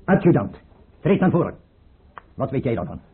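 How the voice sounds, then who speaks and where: An imperious, suspicious tsar in an old radio drama. The voice is very hoarse-edged and abrupt, lurching widely in pitch, on a muffled recording. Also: male voice, sing-song, moderate pace. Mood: suspicious, volatile. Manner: abrupt, commanding, erratic. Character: imperious, suspicious, volatile tsar barking orders. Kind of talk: Dutch radio play acting.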